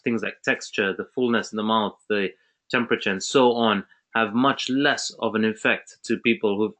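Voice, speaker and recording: A man's voice: on the low side, pushed and bright. A man from Zambia hosting an online webinar, talking casually with many restarts and fillers. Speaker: webinar host.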